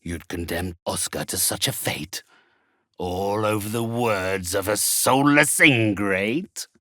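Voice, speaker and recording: An actor playing a sinister, haughty undead necromancer in a video game, with a raspy voice and formal, drawn-out, menacingly polite delivery. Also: male voice, fairly low pitch, bright, forceful tone, no room sound, studio quality. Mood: ominous. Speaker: spirit medium. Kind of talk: theatrical video game villain acting.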